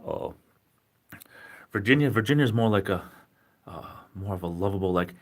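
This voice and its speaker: A man with a Rhode Island accent and a fairly low voice, chatting into his webcam from home. He rambles in a friendly way and pokes fun at himself as he answers viewers. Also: animated, normal speed. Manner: rambling, self-deprecating, chatty. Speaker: livestream host. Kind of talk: casual livestream chat.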